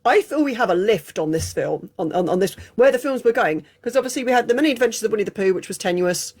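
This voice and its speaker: A woman's voice: mid-range voice, animated, fast talker, a little mellow. An English woman chatting casually through an unscripted film review, frank and prone to digressions. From England. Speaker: film reviewer, channel host.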